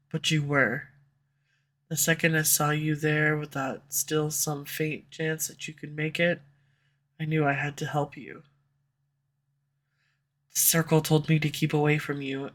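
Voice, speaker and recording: A woman's voice: deep voice, a bit bright. A woman voice actor playing a proud, guarded female villain who swears and turns defensive when pushed, recorded on a USB condenser mic. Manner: curses often, defensive and flustered when pressed. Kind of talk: audio roleplay acting. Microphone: USB condenser microphone.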